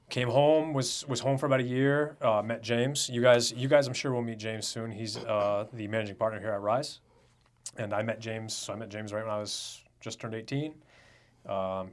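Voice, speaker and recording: A young man from Rhode Island co-hosting a podcast, talking in a loose, joking, unfiltered way. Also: on the low side. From Rhode Island, USA. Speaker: podcast co-host. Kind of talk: casual chat.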